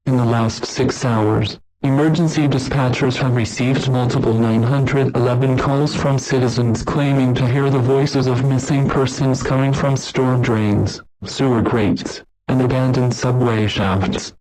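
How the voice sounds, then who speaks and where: A man delivers a scripted emergency broadcast as an announcer, formal, measured and impersonal. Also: mid-range voice, fairly even intonation, noisy background.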